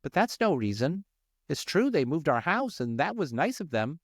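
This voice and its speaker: A curious little mouse child from a storybook, played by a man, pitched high and lively. The manner is innocent, questioning and a bit plaintive. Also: moderate pace, no echo, clean studio sound. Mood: curious, concerned.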